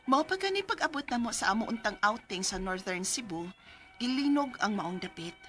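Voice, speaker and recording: A thirty-year-old Cebuano single mother in a radio drama. Her voice is high and bright, and it swings widely in pitch. She comes across as lively and caring, speaking with great expression. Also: normal speed, soft background music. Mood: earnest narration. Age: 30s. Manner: expressive, animated. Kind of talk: Cebuano radio drama, letter narration and dialogue.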